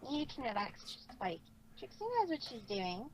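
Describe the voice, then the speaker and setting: A woman plays a proud, stubborn female unicorn for a storybook reading. The voice is high and swings up and down, slow and haughty. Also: very animated. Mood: dismissive. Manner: haughty, refers to herself by name. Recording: noisy home recording.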